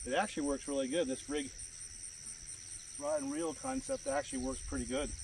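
A man's voice: on the high side, very animated, a little fast, darkish tone. A man giving casual, plainspoken commentary for an outdoor fishing vlog, in a folksy way. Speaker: fishing vlogger. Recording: outdoor recording with clear background noise.

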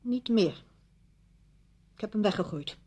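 Bitter, husky widow. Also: female voice, mid-range voice, lively intonation, unhurried, a little mellow. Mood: cold, taunting. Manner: sardonic, biting. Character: bitter, despairing widow coldly holding her visitor captive. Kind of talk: Dutch radio play acting.

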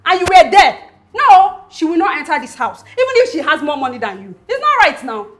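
A woman's voice: high-pitched, big pitch swings, a little fast. A woman with a Nigerian accent playing an outraged, bossy, protective sister, insistent and repetitive, in a screen drama. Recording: echoey room, faint music behind.

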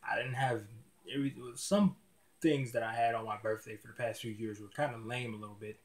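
A man of twenty vlogging casually to his camera in a dim small room, rambling and restarting his words often, with relaxed slang. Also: medium pitch, fairly quick. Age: 20s. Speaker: YouTuber. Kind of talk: casual vlog talk to camera.

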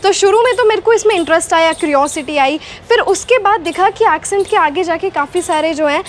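A young woman from Haryana with an Indian accent, answering interview questions in a relaxed, explanatory way, mixing in English phrases. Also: extremely high pitch, fairly quick, slight background noise. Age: young adult. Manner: mixes Hindi and English, explanatory, gestures with hands. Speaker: guest, English accent coach. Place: outdoor garden courtyard under shade net. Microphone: clip-on lapel mic.

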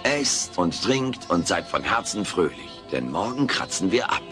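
A man's voice: deep voice, big pitch swings, measured pace, music in the background. A man playing a wisecracking, theatrical alien creature in a German comedy audio play, talking in a pompous, melodramatic way. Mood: philosophical. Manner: pompous, melodramatic, mock-philosophical.

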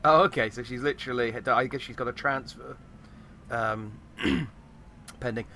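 Slightly croaky man with a bright voice. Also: medium pitch, fairly even intonation, moderate pace, a little hiss or hum. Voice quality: slightly croaky, recovering from voice loss. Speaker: gamer, host of a let's play. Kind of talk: casual, rambling game commentary.

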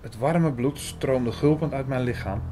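A man's voice: medium pitch, unhurried, very noisy. A man reading scripted first-person narration for a thriller audio drama.

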